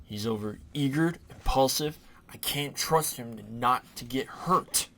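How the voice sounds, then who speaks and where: Slow, stern superhero guardian played by a man. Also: medium pitch, bright, forceful tone. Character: stern, brooding adult male superhero guardian. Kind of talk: audio drama acting.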